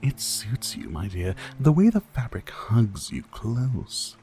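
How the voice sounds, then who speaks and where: A man playing a suave, sadistic vampire host in an intimate ASMR roleplay, courtly and mocking, with seductive drawn-out pauses. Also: mid-range voice, big pitch swings, dark tone, slight background noise. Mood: predatory, admiring. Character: suave sadistic male vampire host toying with his prey.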